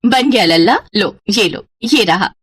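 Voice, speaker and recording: A woman's voice: mid-range voice, a little slow, slightly rough, dry recording. A woman voicing an affectionate, sometimes anxious young village mother and wife in a cartoon story. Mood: tender. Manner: affectionate, expressive.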